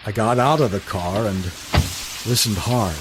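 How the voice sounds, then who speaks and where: A man's voice: lowish voice, measured pace, natural voice. Guy playing a broke 1930s night watchman telling his tale, wry n hesitant, radio play, rain hissing behind him. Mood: uneasy. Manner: wry, colloquial, hesitant storytelling. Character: down-on-his-luck sober night watchman in 1938 Baltimore. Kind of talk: first-person radio drama narration. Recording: voice over steady rain noise.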